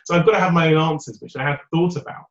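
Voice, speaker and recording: A British man in a dating coach interview, with a loud voice and an upbeat, chatty way of talking. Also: on the high side, very fast. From United Kingdom. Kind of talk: conversational interview answers. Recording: roomy, dull remote audio.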